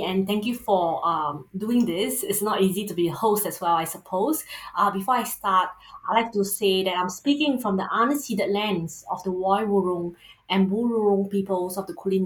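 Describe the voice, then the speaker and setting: A woman with a Singaporean accent, a sociologist and author answering interview questions thoughtfully, pausing often with ums and uhs. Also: medium pitch, reverberant, poor audio.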